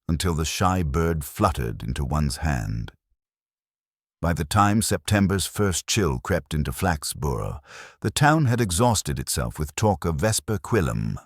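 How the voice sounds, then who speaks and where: Mystery narrator, male: exceptionally low pitch with animated intonation, measured and theatrical scripted storytelling. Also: no echo, clean studio sound.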